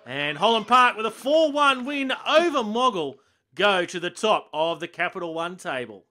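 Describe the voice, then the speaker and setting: Bright, high-voiced Australian football commentator. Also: male voice, moderate pace. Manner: excitable bursts at chances and goals. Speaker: match commentator. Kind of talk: football commentary over highlights.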